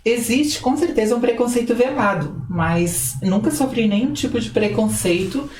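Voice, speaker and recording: A woman speaking thoughtfully and calmly as an interview guest. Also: fairly high pitch, normal speed, echoey room, far from the mic, slight background noise. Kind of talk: conversational interview.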